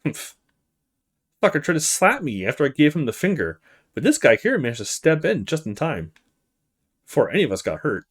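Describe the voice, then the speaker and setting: A man's voice: on the high side, sing-song, a little fast, long pauses, slightly rough. A man voicing a feisty young female university student in a visual novel, blunt and sassy. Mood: defensive. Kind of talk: visual novel character read-aloud.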